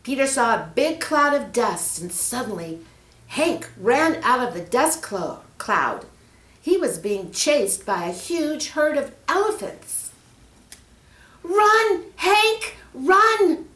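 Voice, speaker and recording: A woman reads a children's story aloud at a slow, deliberate pace. Her pitch moves widely and expressively as she speaks directly to young listeners. The room adds a noticeable echo. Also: big pitch swings, a bit bright. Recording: roomy, echoing room.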